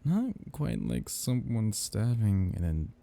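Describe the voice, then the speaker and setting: A man playing a seductive, teasing, menacing vampire noble in an intimate ASMR roleplay, in a breathy, gravelly murmur. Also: big pitch swings, full character voice. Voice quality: husky, breathy, low murmur with gravelly edges. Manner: slow, teasing drawl with soft whispered dips.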